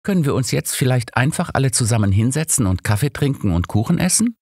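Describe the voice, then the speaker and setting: A man voicing a bossy, motherly woman in an audiobook, with a brisk and emphatic manner. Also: mid-range voice, very animated, creaky, a little mellow, breathy edge, no echo, clean studio sound, pitched above the performer's own voice, exaggerated voice. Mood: exasperated. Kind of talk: audiobook character reading.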